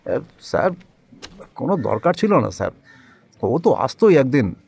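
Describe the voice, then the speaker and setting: A man's voice: mid-range voice, big pitch swings, normal speed, vocal fry, darkish tone, husky, heavily acted. A man playing a nervous, fawning loan-recovery boss in an audio drama, often stammering and evasive. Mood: anxious pleading.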